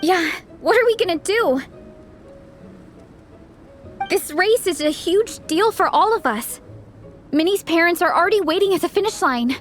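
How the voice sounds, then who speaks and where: A woman's voice: very high-pitched, lively intonation, a little slow, pauses often, faint music behind. A woman voicing a bold, encouraging young teammate in a video game, earnest and rallying. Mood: worried. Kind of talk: scripted game dialogue.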